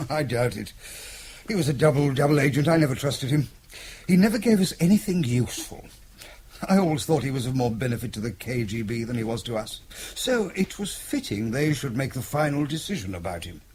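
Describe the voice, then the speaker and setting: An actor playing an urbane, calculating British intelligence chief in an audio drama, polite and matter-of-fact. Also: male voice, mid-range voice, sing-song, brisk, darkish tone, natural voice. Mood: coolly explaining. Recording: faint background noise.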